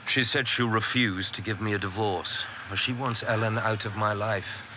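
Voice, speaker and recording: An actor playing an ambitious, pragmatic government minister with a controlled, wry manner in radio drama dialogue. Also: male voice, fairly low pitch, steady tone, normal speed, background noise, lightly acted. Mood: tense, resigned.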